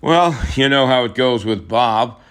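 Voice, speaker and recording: A man performs a middle-aged writer in a radio play, frustrated and worn down by disappointment. He confides haltingly. His mid-range voice rises and falls freely. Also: mid-range voice, expressive, clean tone, natural voice. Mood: earnest, searching.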